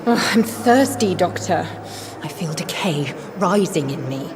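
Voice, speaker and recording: A woman's voice: lowish voice, lively intonation, measured pace, noisy background. An actress playing a decaying, vengeful undead woman in a video game, drawing her words out ominously. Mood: suffering. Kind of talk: video game drama acting. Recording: game cut-scene with music underneath.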